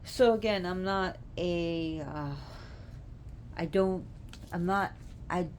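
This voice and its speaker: A woman giving an informal, unscripted explanation, halting as she searches for words. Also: on the low side. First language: not Gaelic. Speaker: presenter explaining names of a Celtic deity. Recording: clear background noise.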